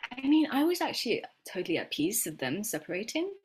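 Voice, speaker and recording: A woman's voice: on the high side, sing-song, fast, darkish tone, a little hiss or hum. A woman herbalist who grew up in Florida and England, telling her story as an interview guest in a reflective, hesitant way. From grew up in Florida, then England. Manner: reflective, hesitant storyteller with many fillers and restarts. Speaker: guest, herbalist and medicine maker. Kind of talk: conversational interview.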